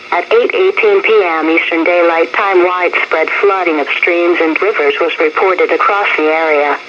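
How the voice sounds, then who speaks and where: A synthetic female voice with an American accent reads scripted emergency weather warnings in a formal, measured way as a radio announcer. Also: lowish voice, steady tone. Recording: dull, noisy weather radio broadcast. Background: radio noise.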